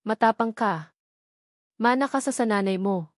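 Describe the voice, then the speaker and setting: A woman's voice: on the high side, measured pace, no room sound, lightly acted. Loving elderly grandma for an audio story , played by a female narrator: tender, supportive, dry recording. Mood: encouraging. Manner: tender, reassuring.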